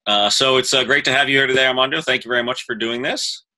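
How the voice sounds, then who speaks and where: Bright-voiced male interview host. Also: medium pitch, steady tone, fast. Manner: friendly, stumbling questions. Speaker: podcast host. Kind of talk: casual interview.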